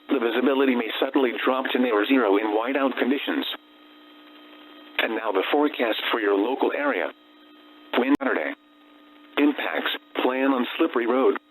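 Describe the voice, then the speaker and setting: A synthetic man's voice reads automated weather warnings as a radio announcer, with flat, formal, segmented delivery. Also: mid-range voice, moderate pace. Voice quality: synthetic text-to-speech voice. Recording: noisy, humming weather radio broadcast.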